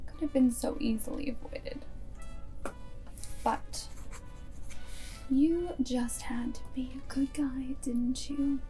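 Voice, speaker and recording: A woman playing a guarded but secretly fond vampire girlfriend and wartime spy in a soft, whispered ASMR roleplay, speaking deliberately close to the microphone. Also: high voice, fairly even intonation, soft background music, low-quality recording. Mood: reproachful, fond. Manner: hushed, deliberate, often dropping into whisper.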